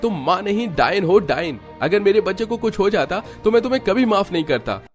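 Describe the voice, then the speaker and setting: A man's voice: high voice, lively intonation, brisk, soft background music, pitched above the performer's own voice. Guy doing an indignant cartoon husband, voice pushed way up, scolding hard. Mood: angry, accusing. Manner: scolding, emphatic. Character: reproachful, indignant young husband in a family cartoon.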